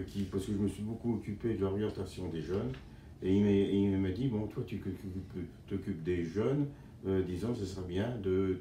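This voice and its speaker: An older man speaks earnestly in a very low, dark, mellow voice, halting and restarting as he searches for words. He is recorded from a distance in an echoey room with a faint hiss behind him. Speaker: association board member. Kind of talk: testimonial interview.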